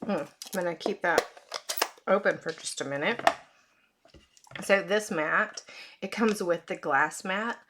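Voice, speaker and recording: Hoarse woman demonstrating card-making, low voice. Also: measured pace, long pauses, heavy background noise. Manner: chatty, with frequent fillers. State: hoarse, losing her voice. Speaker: craft demonstrator. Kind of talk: casual card-making tutorial.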